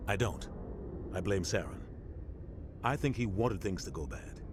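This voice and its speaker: An actor playing a bitter veteran soldier captain, speaking in a measured, grim tone. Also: male voice, sits low, expressive. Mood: tense. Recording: game cutscene with ambient noise.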